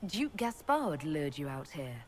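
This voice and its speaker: A woman's voice: low voice, sing-song, measured pace, slight background noise. A woman playing a composed, commanding heroine and leader in a fantasy video game, speaking with authority. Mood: calm, assured.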